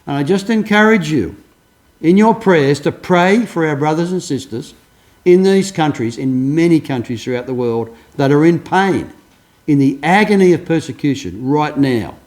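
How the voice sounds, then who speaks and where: A man's voice: fairly high pitch, lively intonation, normal speed, a little mellow. Earnest man preaching. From Australia. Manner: earnest storytelling, many restarts. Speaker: pastor. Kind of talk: sermon.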